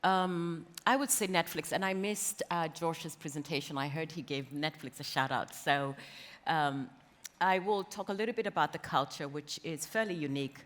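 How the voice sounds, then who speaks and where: Low, even-voiced woman in a reverberant hall. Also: normal speed. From Kenya. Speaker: interviewee, company talent executive. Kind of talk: conversational panel interview answers. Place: conference stage in a large hall. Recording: reverberant hall, stage event.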